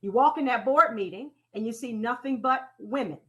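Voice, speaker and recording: A woman leading an interactive training talk in a deliberate, teacherly way, putting questions to her audience and repeating key phrases. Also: a little high, bright, forceful tone. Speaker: presenter leading a training session. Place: online conference call with slides.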